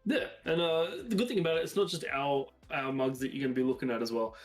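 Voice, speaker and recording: A man's voice: mid-range voice, fast. A man in his thirties with an Australian accent, co-hosting a casual card-game chat with an enthusiastic, agreeable manner. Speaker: podcast co-host. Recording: roomy sound with faint music.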